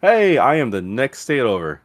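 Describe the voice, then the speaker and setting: A man's voice: medium pitch, huge pitch swings, normal speed, dry recording, clean studio sound. A man from Alabama with a Southern US accent, hosting a podcast in casual conversation. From Alabama, USA. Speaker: podcast host. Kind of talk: casual chat.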